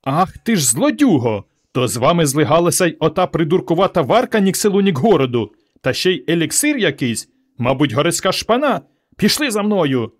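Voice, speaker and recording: Man putting on a shrill, scolding village mother. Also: sing-song, fairly quick, few pauses. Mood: angry, threatening. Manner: shouting, scolding, wailing.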